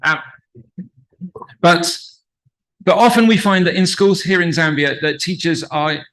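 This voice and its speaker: A man's voice: a little high, measured pace, fairly bright tone, low-quality recording. An Englishman giving a conference presentation with an English accent, drawing the audience in and having them read aloud with him. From England. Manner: engaging, asks audience to read along.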